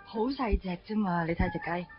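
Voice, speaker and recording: A woman's voice: on the low side, moderate pace, lo-fi sound, close to an everyday voice. Frank young Hong Kong woman, an actress, music behind. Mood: playful. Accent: Hong Kong Cantonese. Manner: chatty, frank, chiding. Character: outspoken, playful young woman, old first love. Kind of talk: Cantonese radio drama acting.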